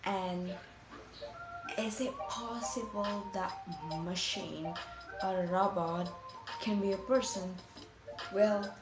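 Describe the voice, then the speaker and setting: A woman from Indonesia, speaking English as an as she presents a school video, halting and searching for words in simple phrasing. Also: mid-range voice. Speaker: student. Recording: roomy echo with music behind.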